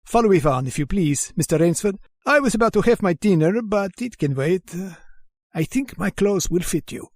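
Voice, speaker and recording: A man's voice: sits high, lively intonation, normal speed, no echo, studio quality. A man playing a genteel, menacing aristocratic general and hunter in a radio drama, courtly, formal and theatrical in manner. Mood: courtly, hospitable. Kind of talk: old-time radio drama acting.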